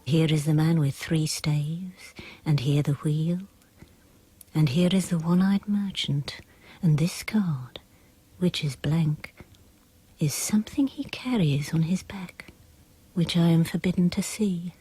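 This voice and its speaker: Imperious tarot clairvoyante for radio poetry drama: low, very husky, slow and ominous. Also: female voice, warm tone, full character voice. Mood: hushed, mysterious. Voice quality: husky, breathy. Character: imperious fortune-telling clairvoyante reading tarot cards.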